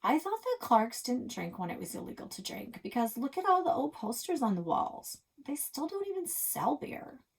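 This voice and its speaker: A woman's voice: high-pitched, sing-song, moderate pace, few pauses, husky, pitched above the performer's own voice, heavily acted. A woman voicing a haughty, skeptical older sister from a children's novel, scornful and challenging. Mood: accusing.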